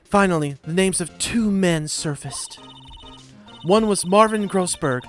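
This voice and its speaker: A man's voice: high-pitched, a little slow, pitched above the performer's own voice. A man voicing a solemn, determined young woman in a dramatic amateur reading of video game text. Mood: solemn, bitter. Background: game music.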